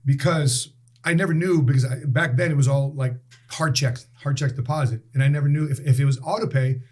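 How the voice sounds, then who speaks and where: A man in his late forties, a guest in a casual interview, telling stories with animated emphasis and repeated phrases. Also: mid-range voice, fairly quick. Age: 40s.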